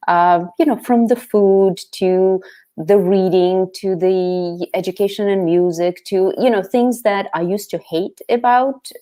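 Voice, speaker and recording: A middle-aged woman from the Soviet Union whose first language is Russian, telling stories as an interview guest in a chatty, animated way with many restarts. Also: mid-range voice, unhurried. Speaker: author guest. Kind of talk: casual interview storytelling.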